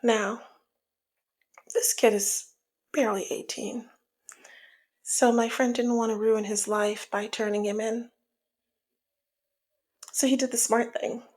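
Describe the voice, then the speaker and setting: A woman playing a teasing, dominant mob boss in an ASMR roleplay, with a breathy, husky voice that often slips into a whisper and a slyly menacing, sultry manner. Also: on the high side, fairly even intonation, takes it slowly, long pauses, darkish tone. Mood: composed, matter-of-fact. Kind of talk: ASMR roleplay audio drama.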